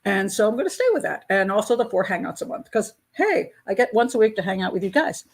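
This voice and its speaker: A woman's voice: medium pitch, expressive, quick pace. A woman in her sixties hosting a casual live chat with her patrons, talking in a candid, chatty way. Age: 60s. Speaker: host of a crime-profiling channel.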